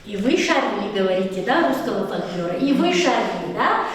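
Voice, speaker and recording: A woman's voice: mid-range voice, big pitch swings, normal speed. Teacher lady, middle-aged, asks the kids stuff and praises them, really roomy and far from the mic. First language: Lezgian. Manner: asks the class questions and praises answers. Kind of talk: classroom lesson. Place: echoey classroom. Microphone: far camera. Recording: echoey room picked up from far off.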